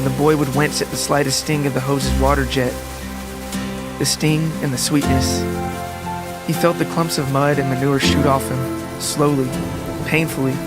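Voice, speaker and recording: A man narrating a scripted literary story in a measured, deliberate way. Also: mid-range voice. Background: music and rain.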